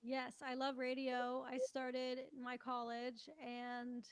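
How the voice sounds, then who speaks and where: A woman's voice: high-pitched, monotone, slow pace, clean tone, dry recording, studio quality. A woman from Canada, a country musician, chatting casually as an interview guest.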